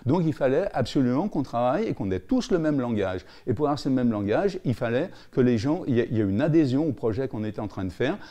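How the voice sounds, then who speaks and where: A man's voice: medium pitch, expressive, fast talker, few pauses, dark tone, highs cut off. A man recounting experience in an interview, spontaneous and sometimes searching for his words.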